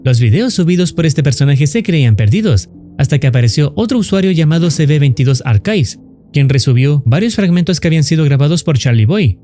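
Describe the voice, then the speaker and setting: Lively man narrating, higher-pitched voice. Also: a little fast, soft background music. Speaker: narrator of a horror explainer channel. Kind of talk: scripted narration explaining horror videos.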